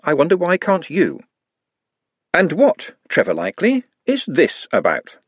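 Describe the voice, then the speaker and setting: Man voicing a weary woman sergeant, dry sarcasm, slightly higher, close sound. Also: wildly swooping pitch, unhurried, husky, no echo, dull audio, full character voice. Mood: sarcastic. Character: tired, sharp female police sergeant.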